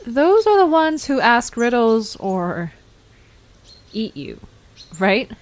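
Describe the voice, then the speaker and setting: A woman's voice: a little high, sing-song, takes it slowly. A woman playing an eager, inquisitive young adventurer in an audio drama. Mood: curious. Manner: eager, questioning. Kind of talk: scripted audio drama acting.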